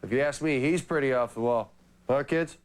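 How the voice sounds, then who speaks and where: An actor playing a smug middle-aged suburban dad who grumbles in corny jokes. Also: male voice, mid-range voice, normal speed, close to an everyday voice. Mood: smug mocking. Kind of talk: pre-taped comedy sketch.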